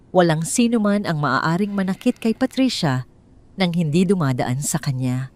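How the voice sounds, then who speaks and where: Woman, Filipino accent, lower-pitched, very animated intonation, dramatic scripted storytelling. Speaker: story narrator.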